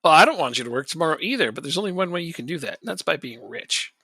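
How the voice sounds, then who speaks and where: A man's voice: fairly high pitch, very animated, very fast, pushed and bright, slightly rough, dry recording, clean studio sound. A man chatting casually on a live stream while playing a football management game, rambling and joking and chuckling often.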